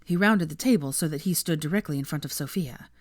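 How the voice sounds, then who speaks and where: Woman audiobook narrator, low register, dry close sound, expressive dramatic reading of fan fiction. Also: fast talker, dry recording.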